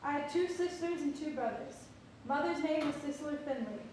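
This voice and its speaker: A woman's voice: high voice, normal speed, a little mellow, close to an everyday voice. A woman giving a dramatic reading as someone formerly enslaved who was parted from their mother as a child. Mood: plaintive, earnest. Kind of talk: dramatic reading of a historical newspaper ad. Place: theatre or hall, recorded from a distance. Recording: echoey hall from far off, faint noise.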